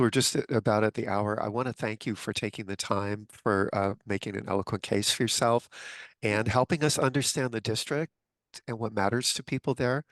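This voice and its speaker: Low-voiced man hosting an interview. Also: dry recording. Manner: relaxed, filler-heavy questions. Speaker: interview host. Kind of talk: conversational interview.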